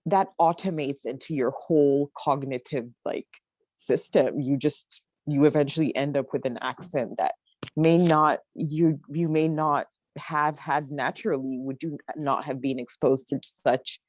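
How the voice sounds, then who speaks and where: A woman's voice: low-pitched, unhurried, a little mellow, no echo. A teenage girl speaking reflectively and wryly as a student panelist over a video call. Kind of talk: casual panel discussion. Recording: dry muffled video call audio.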